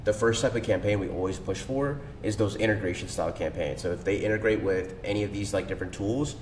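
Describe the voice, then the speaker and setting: A man talking casually as a podcast guest, enthusiastic and slangy, with lots of fillers. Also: fast talker. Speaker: cold email agency owner, guest. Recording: echoey room, distant mic, faint rumble.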